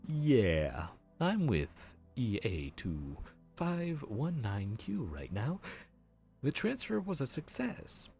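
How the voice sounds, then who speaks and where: A man's voice: mid-range voice, sing-song, slow, creaky, a little mellow, soft background music, exaggerated voice. A man voicing a friendly, slightly flustered grim reaper supervisor who talks in an awkward, bantering way. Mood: teasing. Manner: chatty, bantering and a bit awkward. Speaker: voice actor. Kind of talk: audio roleplay acting.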